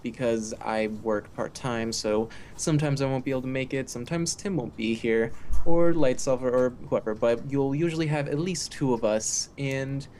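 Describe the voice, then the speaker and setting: A man's voice: medium pitch, moderate pace, hardly any pauses, darkish tone, a little hiss or hum. A man co-hosting a live radio podcast, a voice actor who talks in a playful, theatrical way. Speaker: co-host, voice actor. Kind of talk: casual live podcast chat.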